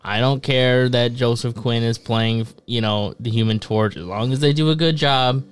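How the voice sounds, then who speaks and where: A man's voice: medium pitch, fairly even intonation, moderate pace, smooth. A young American man co-hosting a casual podcast, laid-back and matter-of-fact. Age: young adult. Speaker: podcast co-host. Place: indoor podcast studio. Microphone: close boom-arm podcast microphone with headset.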